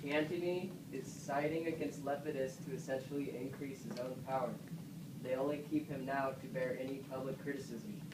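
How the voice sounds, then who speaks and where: A man's voice: medium pitch, fairly even intonation, brisk. Echoey, distant male puppet-show narrator. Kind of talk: scripted narration, reading stage directions. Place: classroom, voice from behind a puppet stage. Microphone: far camera. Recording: echoey classroom, distant mic, clear noise.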